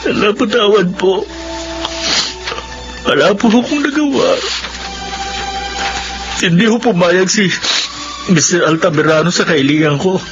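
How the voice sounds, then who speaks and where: Casting: humble young Filipino grandson, high earnest voice, sorry and pleading; muffled radio-drama sound. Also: male voice, measured pace, pauses often. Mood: apologetic, sorrowful. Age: young adult. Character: humble, apologetic young grandson of poor farmers. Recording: band-limited radio drama, music behind.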